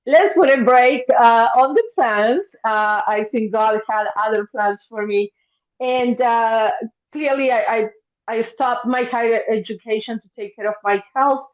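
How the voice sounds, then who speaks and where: Lively Argentine-born woman on a dull line. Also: measured pace. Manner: warm, animated, acts out her past thoughts in a higher voice. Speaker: podcast guest, therapist. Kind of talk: casual interview storytelling.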